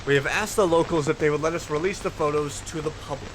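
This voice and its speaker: A man's voice: fairly high pitch, fast talker, noisy background. A man giving casual reaction commentary, reading captions aloud and musing in fragments. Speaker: reaction video host.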